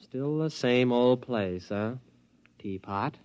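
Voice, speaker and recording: A man's voice: mid-range voice, animated, takes it slowly, a little mellow, smooth. An actor playing a fussy grown son, an overlord cowed by his mother, in 1950s radio drama style. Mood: fussy disapproval. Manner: fussy, then meekly obedient.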